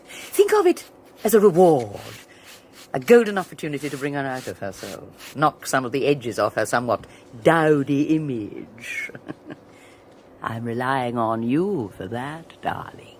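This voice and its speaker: A woman's voice: very deep voice, big pitch swings, unhurried, a little hiss or hum, full character voice. An actress playing a domineering wealthy wife who teases and controls her husband, silky and condescending with small laughs, in a radio drama. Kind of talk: 1980s radio drama acting.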